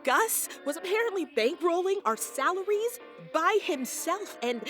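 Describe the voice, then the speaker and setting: A woman playing a stressed, bossy radio station manager in an audio comedy, blunt and hurried. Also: very high voice, sing-song, fairly bright tone, soft background music, full character voice. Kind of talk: audio drama comedy acting.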